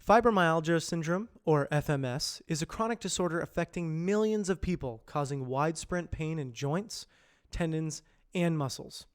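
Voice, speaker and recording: Higher-pitched man reading scripted narration. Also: moderate pace, clear, resonant tone. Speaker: amateur voice actor practising reads. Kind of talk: scripted narration, educational and informational.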